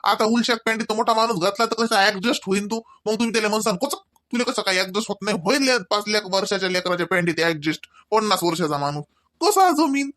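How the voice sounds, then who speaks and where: Man doing a high-pitched grumbling rustic fellow. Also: fast talker, sharp tone. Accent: rural Marathi of Vidarbha. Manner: sarcastic, complaining. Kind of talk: comedy cartoon dialogue.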